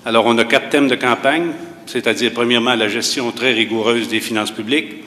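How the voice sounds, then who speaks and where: A man giving a measured opening statement as a municipal candidate, pausing often with fillers. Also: mid-range voice, steady tone, bright, forceful tone. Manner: measured, hesitant, many filler pauses. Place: university hall. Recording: reverberant hall with faint noise.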